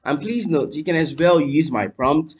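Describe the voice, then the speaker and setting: A young man hosting a screen-recorded software tutorial at his home computer, talking viewers through each step and spelling out what he types. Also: a little high, normal speed, a little hiss or hum. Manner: lively, addresses viewers as "you guys", spells out letters while typing. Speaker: tutorial host. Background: keyboard typing.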